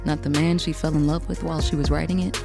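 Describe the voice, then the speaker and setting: Woman narrator, lowish pitch, scripted dramatic storytelling, faint music behind. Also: fairly even intonation, fast, gravelly.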